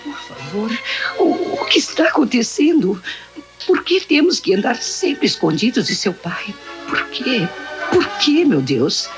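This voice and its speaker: A woman's voice: a little high, very animated, a little slow. An actress playing an anguished young woman desperately in love in an old-style radio soap, with a breathless, tearful, melodramatic delivery. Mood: distressed. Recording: radio play under loud music.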